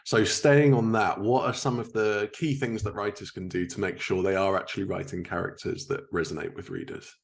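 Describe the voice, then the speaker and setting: Low-voiced man hosting an interview. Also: fairly even intonation, a little fast, hardly any pauses. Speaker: podcast host. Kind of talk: casual interview.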